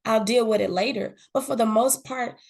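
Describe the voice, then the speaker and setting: Earnest woman, fairly high voice, candid chat. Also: normal speed, vocal fry, a little mellow. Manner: earnest, repeats words, often says "you know what I'm saying". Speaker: podcast guest. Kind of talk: candid conversational talk.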